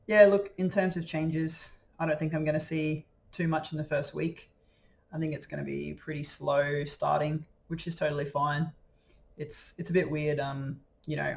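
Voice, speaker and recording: Gravelly, flat-toned man in his late twenties. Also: a little high, a little hiss or hum. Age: late 20s. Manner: easygoing, slightly hesitant, thinks aloud. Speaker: vlogger. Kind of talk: casual video diary.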